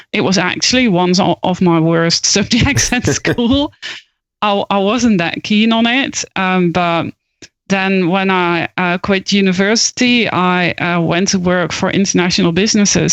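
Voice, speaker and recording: A woman's voice: on the low side, a little slow, no echo. Dutch-accented woman, dry close sound. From Netherlands. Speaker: guest, copywriter and coach. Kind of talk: podcast interview.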